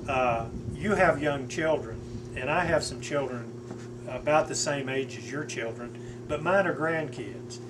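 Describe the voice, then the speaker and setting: A man's voice: medium pitch, unhurried. A man around seventy chatting casually, agreeing readily and asking short questions. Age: 70s. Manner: agreeable, brief affirmations and questions. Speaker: porch conversation partner. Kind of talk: casual chat. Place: outdoors on a front porch. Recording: distant mic with background noise.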